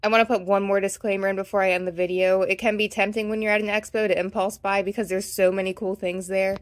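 A woman hosts a hobby vlog in voice-over. She speaks very quickly at an ordinary middle pitch, moving steadily through practical advice in an informational, narrated style. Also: level tone. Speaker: vlog host and reptile hobbyist.

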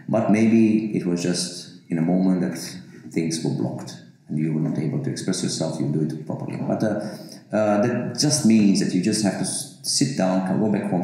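A man's voice: deep voice, warm tone. A Bosnian-born man in his forties, a university professor with Bosnian as his first language, answering interview questions to camera with many fillers and restarts. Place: office with bookshelves, indoors. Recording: very echoey office room.

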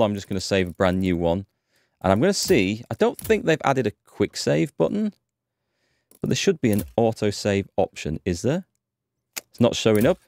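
A man's voice: on the low side, darkish tone, no room sound. A man in his thirties with a North Yorkshire accent, chatting casually and thinking aloud while playing a game on a headset mic. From England. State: slightly under the weather with a cold. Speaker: gaming streamer. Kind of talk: casual let's-play commentary. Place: home streaming room, indoors.

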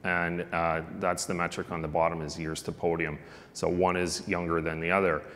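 Middle-aged man, very low voice, conference keynote at a lectern microphone, long reverb of a large hall. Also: flat delivery, normal speed, fairly bright tone. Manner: conversational, frequent ums and uhs. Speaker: keynote speaker on sports analytics. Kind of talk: conference presentation.

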